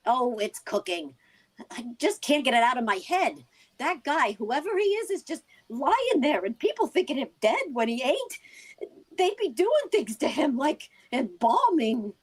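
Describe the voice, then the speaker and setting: A woman's voice: high-pitched, big pitch swings, a little slow, heavily acted. A woman playing a stubborn, worried working-class wife in a vintage-style radio drama, speaking in an insistent, colloquial way.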